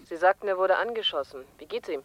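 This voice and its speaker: A woman playing a police colleague in a radio drama, reporting in with a brisk, matter-of-fact manner. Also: fairly low pitch, lively intonation. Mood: businesslike. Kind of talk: German radio drama acting. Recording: dull, band-limited call.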